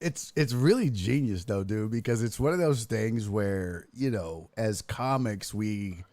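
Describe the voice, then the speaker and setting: A man's voice: on the low side, lively intonation, measured pace, darkish tone, dry recording. Man hosting a casual, sweary chat, close dry sound. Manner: profane, slangy, addresses guest as dude. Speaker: talk show host and comic.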